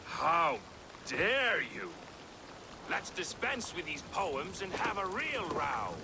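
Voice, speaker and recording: An actor playing a brash, boastful, thin-skinned Norse brawler in a video game, taunting and blustering. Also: male voice, high-pitched, sing-song, slow, very noisy, full character voice. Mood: offended, taunting.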